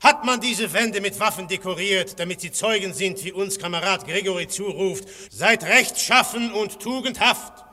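Piercing radical agitator, high voice, scornful, cutting rhetoric, radio drama. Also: male voice, normal speed, pushed and bright, full character voice. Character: male radical anarchist agitator, cold, scornful, fanatical. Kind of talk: German radio play acting. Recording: echoey hall with long reverb.

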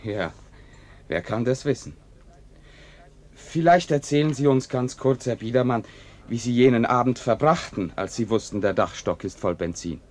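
An actor playing a politely sceptical radio presenter in a radio play, measured, probing and dryly ironic. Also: male voice, mid-range voice, close to an everyday voice. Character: cool, politely sceptical male radio host. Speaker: radio announcer and interviewer.

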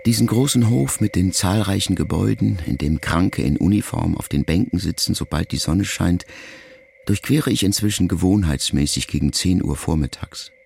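A middle-aged man reading a literary memoir aloud in a measured, reflective first-person voice. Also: low-pitched, vocal fry, a little mellow, slight background noise. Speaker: audiobook narrator. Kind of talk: scripted literary narration.

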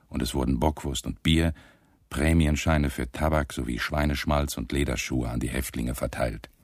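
Grave chronicler, extremely low pitch, dry sound, factual, detached, radio play narration. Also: male voice, darkish tone, dry recording. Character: grave male chronicler reading dated camp records. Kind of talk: scripted documentary-style narration in a radio play.

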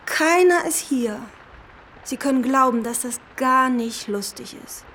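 A woman's voice: high voice, lively intonation, a little slow, a bit bright. Sulky young woman, noisy ambience. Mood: sulky, bored. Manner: sulky, complaining. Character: moody, bored young woman on seaside holiday. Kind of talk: literary radio drama acting.

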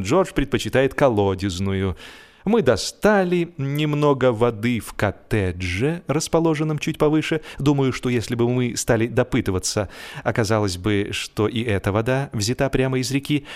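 A man reading a humorous literary story as an audiobook narrator, expressive and measured. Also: mid-range voice.

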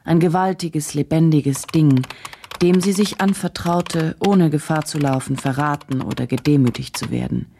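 An actress playing a probing journalist who narrates a radio drama in a measured, brooding manner. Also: female voice, low-pitched, creaky, dark tone, a little hiss or hum. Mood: brooding, intent. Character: probing female journalist, inward and unsettled, reading and narrating.

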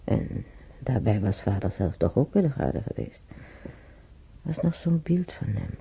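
An actor playing an elderly man who haltingly reminisces in a radio drama, searching his memory and muttering to himself. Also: on the low side, big pitch swings, creaky, breathy edge, heavily acted. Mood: reminiscing. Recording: muffled old radio drama recording.

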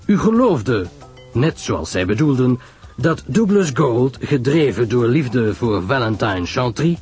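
Man playing shrewd storybook detective, husky voice, animated pitch, courteous, self-assured, emphatic. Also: soft background music, full character voice. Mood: explanatory, assured. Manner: deliberate, emphatic, explanatory. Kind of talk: audiobook story reading.

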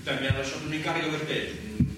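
Man playing a sly soldier, slightly high, echoey. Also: little pitch movement, fast talker, close to an everyday voice. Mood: evasive, teasing. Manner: hesitant hums, insinuating asides. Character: sly, evasive soldier feigning deferential concern. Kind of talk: Italian stage-style radio drama. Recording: roomy, noisy, distant-miked radio broadcast.

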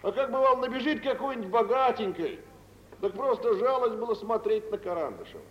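A man's voice: extremely high pitch, a little fast. Young male merchant, amused gossip with a swooping delivery; vintage radio drama, echoey sound. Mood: mocking, gossipy. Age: young adult. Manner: expressive, teasing storytelling. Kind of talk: 1940s Russian radio theatre acting. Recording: dull, echoey, hissy old radio recording.

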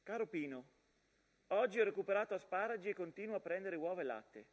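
A man's voice: high voice, animated, brisk. Tender wartime mother, echoing hall. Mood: tender, wistful. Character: tender young wife and mother writing wartime letters. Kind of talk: theatrical letter monologue. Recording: long reverberant theatre hall.

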